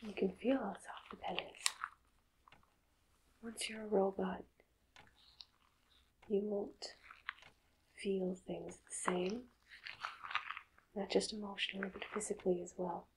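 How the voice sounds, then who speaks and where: A woman whispering softly and breathily, playing a doctor in an ASMR roleplay with slow, deliberate phrasing and pauses. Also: medium pitch, little pitch movement, noisy background.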